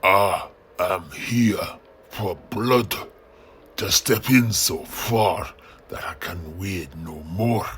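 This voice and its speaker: A man voicing a menacing, bloodthirsty warrior king in a fantasy tale, theatrical and threatening, in a breathy growl. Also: low voice, very slow, a bit bright, a little hiss or hum, heavily acted.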